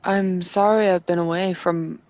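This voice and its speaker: A woman voicing a shy female werewolf who is unsure of her English, speaking in hesitant, halting questions. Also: fairly low pitch, fairly even intonation, a little mellow, no echo, highs cut off, close to an everyday voice.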